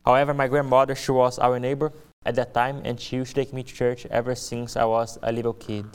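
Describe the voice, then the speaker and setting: A young man with a Brazilian accent, a native Portuguese speaker, speaking as a missionary giving his testimony to a congregation in a deliberate way, repeating phrases for emphasis. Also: medium pitch, level tone, clear, resonant tone. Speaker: missionary giving a ministry presentation. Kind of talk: church testimony speech to a congregation.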